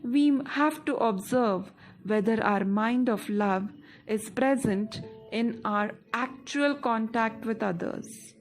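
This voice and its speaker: A woman with an Indian accent guides a meditation in a fairly high voice. She reads very slowly and deliberately, leaving space between phrases. Soft music plays behind her. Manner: measured, deliberate phrasing with pauses. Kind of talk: guided meditation narration, scripted.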